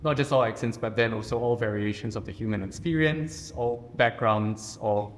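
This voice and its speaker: Singaporean man, mid-pitched, measured and earnest, panel storytelling. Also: slight background noise. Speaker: poet on a panel. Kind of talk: personal reflective talk. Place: reception room at a residence.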